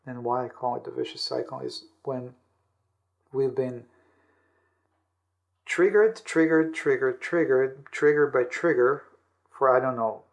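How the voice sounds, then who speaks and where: A man giving explanatory self-help answers as an interview guest, often repeating words and rephrasing in halting steps. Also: medium pitch, vocal fry. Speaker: interview guest, audiobook author.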